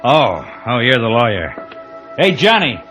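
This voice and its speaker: Rough henchman. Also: medium pitch, very animated, slow. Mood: businesslike. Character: obedient, rough gangland henchman. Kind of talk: 1950s radio drama acting. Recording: vintage radio recording with music.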